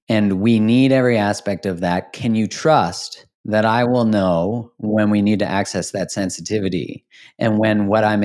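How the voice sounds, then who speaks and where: A man's voice: lowish voice, darkish tone. A man hosting a podcast, talking in a reflective, casual way, with easy swearing and laughter. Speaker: podcast host.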